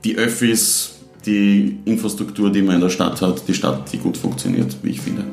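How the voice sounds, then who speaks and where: A man with a Styrian Austrian accent and a very deep voice, chatting in an easygoing, upbeat way. The room around him has a clear echo, with soft music behind. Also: expressive, moderate pace. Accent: Styrian Austrian German. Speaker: podcast guest. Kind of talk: casual chat.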